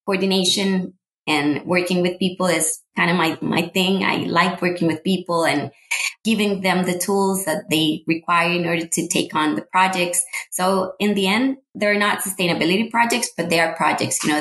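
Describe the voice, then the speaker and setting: A woman's voice: on the low side, a bit bright. Costa Rican woman in an echoey room. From Costa Rica. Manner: friendly, repeats words while searching for them. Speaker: sustainability manager, guest. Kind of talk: conversational interview answers.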